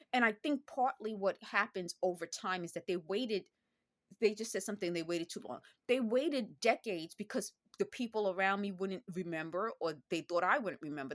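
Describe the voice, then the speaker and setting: A woman's voice: mid-range voice, fairly bright tone. A woman in her fifties talking earnestly and insistently in a personal vlog monologue, circling back over the same points. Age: 50s. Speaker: vlogger.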